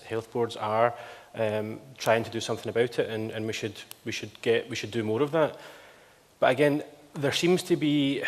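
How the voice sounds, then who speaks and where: A man speaking in a public debate, hesitant with many fillers and restarts. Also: mid-range voice. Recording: long hall reverb.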